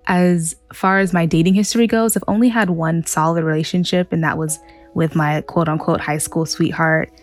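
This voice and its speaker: A young woman in her twenties, a dating show contestant, talking casually and relaxed with many fillers. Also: on the low side, normal speed, soft background music. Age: 20s. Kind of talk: casual chat.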